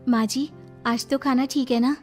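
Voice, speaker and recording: Casting: woman as soft-hearted, obedient young bride for cartoon family drama, high voice. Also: fairly even intonation, moderate pace. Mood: attentive. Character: gentle, apologetic young daughter-in-law. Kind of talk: cartoon moral story acting. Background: faint music.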